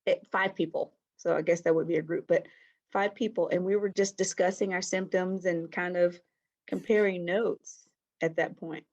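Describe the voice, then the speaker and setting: A middle-aged woman speaking softly as a guest in a casual interview over a video call. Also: fairly low pitch, steady tone, moderate pace, lo-fi sound. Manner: soft-spoken here, though loud by nature. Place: small room indoors. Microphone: webcam on a video call.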